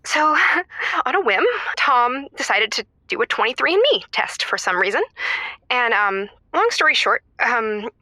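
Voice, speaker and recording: A woman playing a status-conscious, snobbish wife and mother who confides in a comedy call-in show in a halting, emphatic way. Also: on the high side, animated, pushed and bright, no echo. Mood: awkward, confiding. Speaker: caller.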